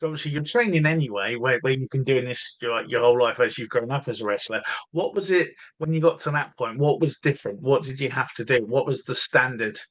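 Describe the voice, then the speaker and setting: A man's voice: medium pitch, brisk, hardly any pauses, fairly bright tone. A man interviewing a guest on a podcast. He asks questions in a loose, rambling way, rewording them several times before he lets the guest answer. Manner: rambling, rephrases his questions. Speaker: podcast host.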